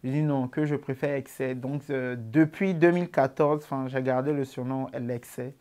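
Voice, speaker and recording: A man in his twenties with an Ivorian accent, answering interview questions about his comedy in a relaxed way, with many fillers. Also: medium pitch, normal speed. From Ivory Coast. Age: 20s.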